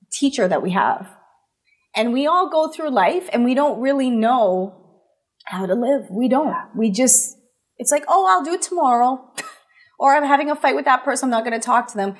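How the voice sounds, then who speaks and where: A woman guest in an interview talking earnestly and emphatically, posing rhetorical questions as she shares motivational ideas. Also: high-pitched.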